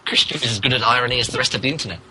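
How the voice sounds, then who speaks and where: A man's voice: medium pitch, rapid-fire, pushed and bright, a little hiss or hum, poor audio. A man reading fan fiction aloud in a mock-dramatic style, poking fun at the mistakes in the text as he goes. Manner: stumbles over and mocks the text's typos. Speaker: podcast host reading aloud.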